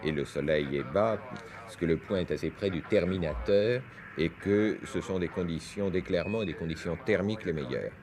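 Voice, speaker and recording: A man's voice: deep voice, normal speed, crowd noise. A man giving explanatory, didactic science commentary over footage on a 1970s television news broadcast. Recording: noisy 1971 French TV newsreel.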